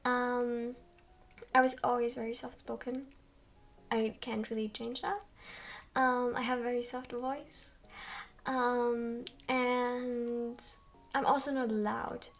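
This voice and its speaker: A young woman chats with her stream in a soft, quiet, high voice. She talks slowly and rambles, filling pauses with ums. She sits some distance from the microphone. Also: little pitch movement. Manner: slow, rambling, many ums. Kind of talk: soft-spoken livestream chat. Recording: distant from mic.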